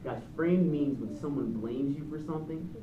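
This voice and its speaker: A male teacher addresses young children with a fairly high, animated voice, asking questions and echoing their replies. The recording is slightly muffled and roomy, with noise behind him. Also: moderate pace. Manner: prompting questions, repeating answers back. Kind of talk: interactive classroom read-aloud with young children. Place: classroom. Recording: echoey noisy room, distant muffled mic.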